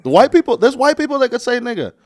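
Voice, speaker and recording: A man with a Texan accent, a comedian guest on a podcast, chatting casually in a laid-back, halting way and laughing often. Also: very high voice, sing-song, no room sound, studio quality. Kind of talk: casual chat.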